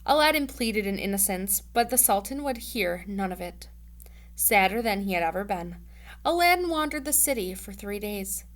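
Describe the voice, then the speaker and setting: A woman's voice: mid-range voice, lively intonation, normal speed. A woman reading a storybook aloud like a teacher to students, expressively and with playful casual asides.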